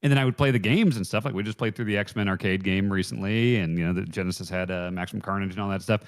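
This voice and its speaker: A man chatting casually as a podcast panelist, in a halting, rambling way. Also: low voice. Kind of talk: casual chat.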